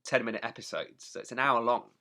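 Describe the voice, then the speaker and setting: A man's voice: mid-range voice, fairly even intonation, fast talker, sharp tone, a little hiss or hum. A man co-hosting a podcast, chatting informally and giving blunt opinions with some swearing. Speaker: podcast co-host. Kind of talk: casual chat, opinionated review.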